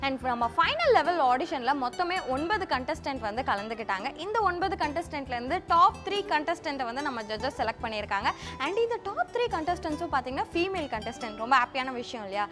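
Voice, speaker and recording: A high-voiced woman hosts a TV show. She talks fast and chattily, dropping in English words, with a lively swing in her pitch over clearly audible music. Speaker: TV show host. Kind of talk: upbeat presenting to camera. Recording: loud music behind the voice.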